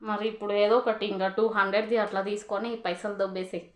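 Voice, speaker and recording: A woman's voice: medium pitch, level tone, fast talker. Insistent Telangana woman on a casual call. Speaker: vlogger making a prank call to a friend. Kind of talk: casual phone conversation.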